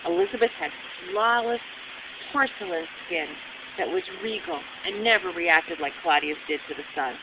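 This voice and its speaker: A woman reading her own children's book aloud as narrator, with animated delivery and dramatic emphasis. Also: moderate pace. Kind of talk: expressive storybook narration. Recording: phone-like narrow band, loud hiss and rumble.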